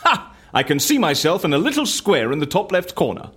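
A man playing an eccentric, excitable, childlike fussy fellow in an audio drama, with theatrical, exclamatory delivery. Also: fairly high pitch, brisk, background noise. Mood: amazed, excitable. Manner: theatrical, exclamatory, quaint turns of phrase.

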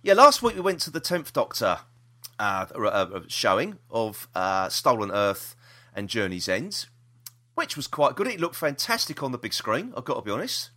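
A man's voice: mid-range voice, huge pitch swings, moderate pace, bright, forceful tone. A British man hosting a podcast, chatting casually about the news in a rambling, opinionated way. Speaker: podcast host.

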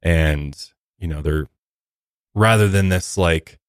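A man's voice: sits low, slow, no echo, studio quality. A man co-hosting a true-crime podcast in a casual, conversational style. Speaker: podcast co-host.

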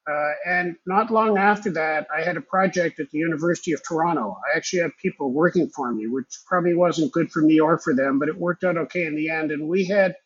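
Older man, husky, remote-recorded. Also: on the high side, muffled recording. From Israel. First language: Hebrew. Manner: rambling, reflective, with fillers. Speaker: guest. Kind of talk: conversational storytelling.

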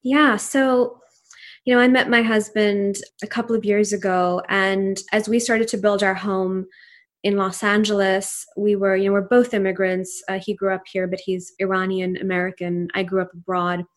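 A woman's voice: mid-range voice, level tone, moderate pace. Woman in her 30s, native Urdu speaker, entrepreneur guest; reflective, earnest, lots of "you know". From Pakistan. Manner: earnest, reflective, many fillers and restarts. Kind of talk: casual interview conversation.

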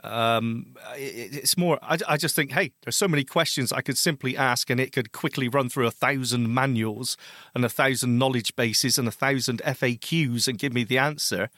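A man's voice: mid-range voice, moderate pace, a bit bright, no echo, studio quality. A man co-hosting a podcast, discussing tech news in a hesitant way with many restarts and fillers. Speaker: podcast co-host. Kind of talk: casual tech news chat.

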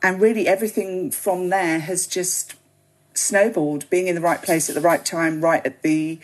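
Low-voiced British woman, chatty guest. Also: fairly even intonation, moderate pace, poor audio. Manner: chatty, rambling with many ums and you knows. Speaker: podcast guest, gin writer. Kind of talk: relaxed interview chat.

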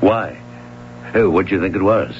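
A man's voice: sits low, unhurried, soft background music. A man in a radio drama playing a genial, obliging fellow with an easygoing manner, on an old broadcast recording. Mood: amused. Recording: muffled radio broadcast, faint hum.

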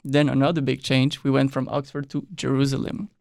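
A man's voice: medium pitch, brisk, creaky, dry recording. A young man who grew up in Mexico, chatting easily as an exchange-student guest on an interview podcast. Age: young adult. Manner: relaxed, loose with frequent "like" and quiet trailing ends. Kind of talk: casual interview chat. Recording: dry close studio mic.

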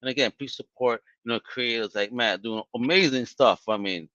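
Man, podcast host, bright voice, dry close mic, animated, stammering, slangy. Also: medium pitch, moderate pace, no echo, highs cut off. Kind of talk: casual interview wrap-up.

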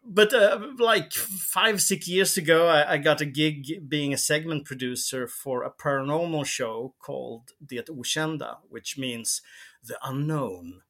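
A man's voice: a little high, a little slow, fairly bright tone. A middle-aged man with a Swedish accent, a native Swedish speaker, talking casually as a podcast guest and often repeating words as he searches for a phrase. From Sweden. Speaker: guest, television producer and researcher.

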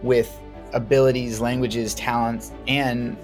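A man's voice: medium pitch, flat delivery, slow pace, faint music behind. A young American man, a singer-songwriter guest, sharing his story in a conversational way with frequent restarts. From United States. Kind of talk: casual personal account.

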